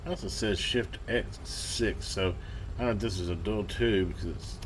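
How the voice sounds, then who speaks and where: A man giving casual hands-on commentary as a hobbyist host, halting and muttering to himself as he works. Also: fairly low pitch, noisy background. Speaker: vintage radio hobbyist hosting a repair video.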